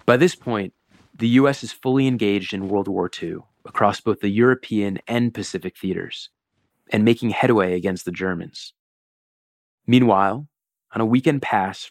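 A man narrating a historical documentary in a measured, solemn, scripted way with dramatic emphasis. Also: fairly low pitch, no echo.

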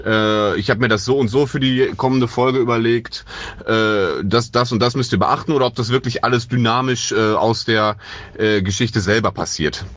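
A man's voice: medium pitch, moderate pace, a bit bright, no echo. Man with a dry, close sound. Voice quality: pleasant, agreeable voice. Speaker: listener sending a voice message question. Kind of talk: casual rambling voice message.

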